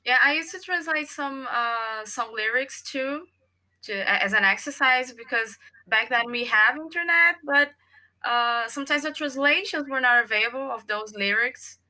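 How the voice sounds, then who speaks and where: A Brazilian woman, a native Portuguese speaker and former English teacher, speaks English with a Brazilian Portuguese accent in a casual podcast interview, pausing often to search for words. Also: high voice, sharp tone, rough recording.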